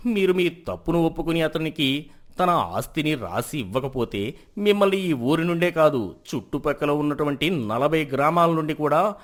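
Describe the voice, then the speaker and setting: A stern village elder sitting in judgement in a moral tale, played by a man. He speaks with measured authority and a widely moving intonation. He sounds fair and firm. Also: a little high, animated, close to an everyday voice. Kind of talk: dramatised moral story acting.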